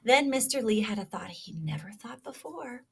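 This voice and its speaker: A woman's voice: on the high side, moderate pace, vocal fry, husky. Woman reading a children's story aloud. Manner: expressive, prompting, addresses young listeners. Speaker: storybook reader. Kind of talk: read-aloud children's story with questions to listeners.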